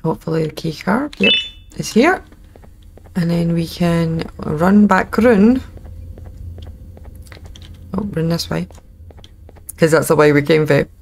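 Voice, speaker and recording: Scottish woman chatting over a game, lots of vocal fry, wry and informal, bit of background noise. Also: lowish voice, expressive, slow, pauses often. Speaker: gaming video host. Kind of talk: casual let's play commentary.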